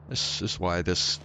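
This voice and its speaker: A man's voice: sits low, sharp tone, no room sound. A man playing a video game and commentating casually, muttering slangy, exasperated remarks to himself. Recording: game music behind the voice.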